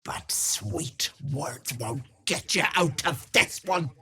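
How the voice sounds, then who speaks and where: A wicked old granny witch for a video game, very husky and cracked. She draws her words out slowly and swings widely in pitch, dripping false sweetness over open menace. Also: animated, sharp tone, exaggerated voice. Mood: mock-sweet, teasing. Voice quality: husky, raspy cackling old voice. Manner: mock-sweet endearments, taunting, menacing. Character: gleefully malicious old hag, sweet-talking folksy granny witch. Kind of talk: theatrical video game character acting.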